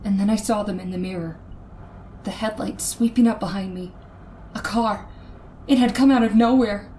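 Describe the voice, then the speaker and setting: A woman's voice: medium pitch, moderate pace, warm tone, a little hiss or hum, close to an everyday voice. A woman playing a nervous, frightened lone traveler in an old-time radio suspense drama, with a shaky, anxious and hesitant manner. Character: nervous red-haired woman driving alone at night, frightened. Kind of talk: old-time radio suspense acting, first-person narration.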